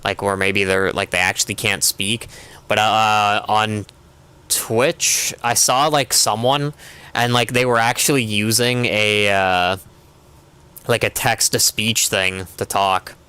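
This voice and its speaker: A man's voice: on the low side, fairly even intonation, slow pace, bright, forceful tone, smooth. A young man streaming a game, chatting casually in a rambling way with constant filler words. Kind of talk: casual chat while gaming.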